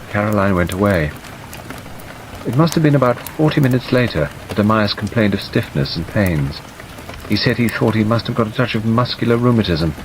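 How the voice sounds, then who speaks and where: A man with a fairly low voice reads a scripted story as an audiobook narrator. His delivery is that of dramatic narration. A light wash of rain runs behind the voice. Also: rough recording. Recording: steady rain noise over the voice. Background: rain ambience.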